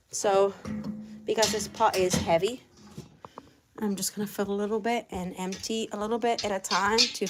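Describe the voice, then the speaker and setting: A woman's voice: medium pitch, noisy background. A woman from Puerto Rico chatting with her live viewers while doing chores outdoors with her animals. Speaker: livestream host.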